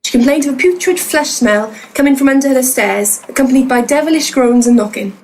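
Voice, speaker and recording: High-voiced, exasperated young assistant. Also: female voice, a little fast, a little mellow, a little hiss or hum. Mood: businesslike. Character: young woman assistant to ghost hunters, keen, exasperated. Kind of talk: full-cast comic audio drama.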